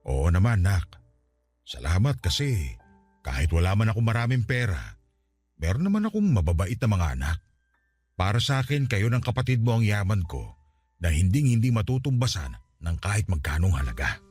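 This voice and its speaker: Deep-voiced, tender Filipino father. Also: big pitch swings, normal speed, darkish tone, slightly rough, soft background music. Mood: grateful, tender. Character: ailing middle-aged Filipino father, former seaman, tender, wounded. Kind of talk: Tagalog radio drama acting.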